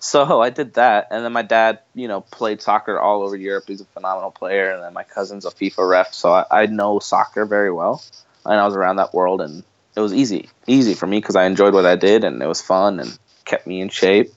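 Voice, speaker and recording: Low-pitched man, dull lo-fi sound. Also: a little fast, dry recording. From Bosnia, later the United States. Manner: informal, repeats phrases for emphasis. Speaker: podcast guest, marketing entrepreneur. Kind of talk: casual conversational interview.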